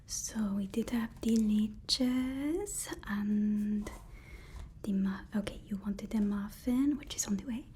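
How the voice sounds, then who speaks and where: A woman's voice: medium pitch, takes it slowly, dark tone, slight background noise, close to an everyday voice. A woman playing a devoted, nervous supervillain's personal assistant in an ASMR roleplay, whispering breathily with a deferential, hesitant, soothing manner. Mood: soothing, attentive.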